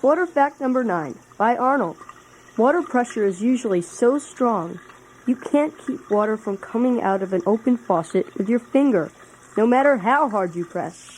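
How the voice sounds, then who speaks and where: A woman's voice: fairly high pitch, animated, moderate pace, darkish tone, a little hiss or hum, lightly acted, poor audio. A woman playing a schoolchild who dutifully recites a science fact in a children's read-along.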